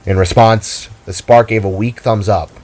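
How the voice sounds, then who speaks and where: A man's voice: lowish voice, steady tone, normal speed, darkish tone, a little hiss or hum. A man reading scripted, dramatic story narration. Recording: music and rain under the voice. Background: music, rain and thunder.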